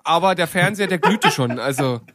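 A man's voice: fairly high pitch, lively intonation, a little fast, a bit bright, dry recording, studio quality. A man co-hosting a podcast, talking in a casual conversational style. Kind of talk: casual chat.

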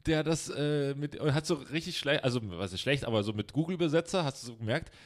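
A man's voice: on the high side, quick pace. Podcast co-host, young man, loose and teasing, plenty of ums. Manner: rambling, joking, many fillers. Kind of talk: casual chat.

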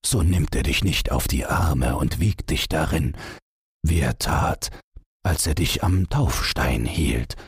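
A man's voice: a bass voice, slow, vocal fry, breathy edge, dry recording, studio quality, heavily acted. A man voicing an old peasant farmer who is weary and stern. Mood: grave.

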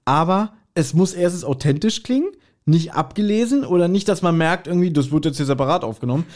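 A man's voice: on the high side, lively intonation, fast talker, a little mellow. A man hosting a casual podcast chat, telling stories in a rambling, joking way. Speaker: podcast host.

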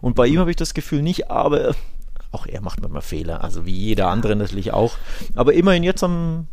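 Mid-pitched man in casual podcast talk. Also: big pitch swings, fast, darkish tone. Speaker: podcast co-host. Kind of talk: casual football podcast discussion.

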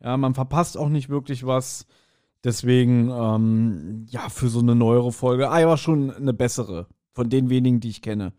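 A man's voice: medium pitch, fairly even intonation, moderate pace, warm tone. A man chatting casually as a podcast co-host reviewing an audio drama, colloquial in his wording.

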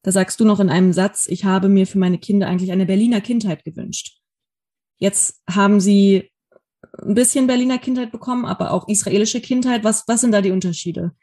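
A woman's voice: mid-range voice, steady tone, a little fast, mellow. A woman hosting an interview, asking curious follow-up questions in a casual tone. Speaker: podcast host.

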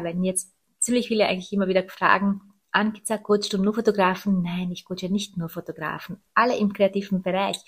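A woman in her thirties speaking as a podcast host, introducing and interviewing. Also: medium pitch. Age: 30s.

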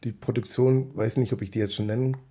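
A man's voice: mid-range voice, fairly even intonation, fast, warm tone, slightly rough. A man with a cold, chatting upbeat as a podcast guest about his work as a choreographer. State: has a cold. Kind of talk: casual chat.

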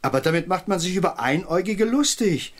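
A man's voice: sits high, expressive, fairly quick. A man acting as a fussy, indignant father in a children's audio drama. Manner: indignant, pedantic. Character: fussy, grumbling father objecting to dressing up.

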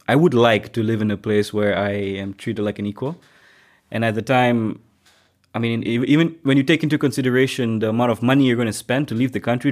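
Young man from Malaysia, lowish voice, reflective and unhurried, casual interview talk. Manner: reflective, thinks aloud with restarts. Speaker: international student.

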